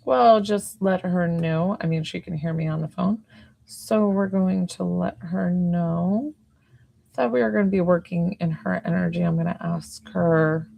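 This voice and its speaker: Soft-spoken, slightly hoarse woman with a gravelly low voice. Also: fairly even intonation, measured pace, low-quality recording. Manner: talks softly, chatty with many "like"s. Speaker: intuitive healer. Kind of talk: casual live-stream chat.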